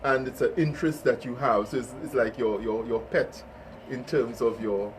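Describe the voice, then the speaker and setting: A man's voice: medium pitch, fairly even intonation, normal speed. A man, hosting an informal interview and speaking as an organiser. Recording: distant mic, faint background noise.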